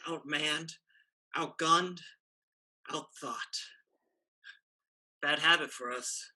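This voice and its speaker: A man playing a grim, fatalistic pirate fighter with clipped, deadpan phrases in a staged play reading. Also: a little high, very slow, heavily acted. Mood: grim, resigned.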